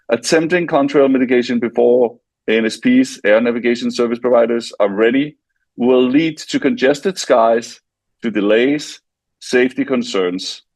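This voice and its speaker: A man's voice: medium pitch, a little mellow, dry recording. A man hosting an interview, reading out a prepared question for a guest. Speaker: interview host. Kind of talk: scripted question read to a guest.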